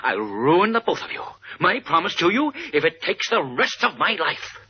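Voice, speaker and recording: An actor playing a blustering, lovesick, wealthy colonel in a melodramatic, sputtering 1950s radio style. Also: male voice, fairly high pitch, big pitch swings, normal speed, faint music behind. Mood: indignant, threatening. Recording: dull, hissy 1950s radio recording.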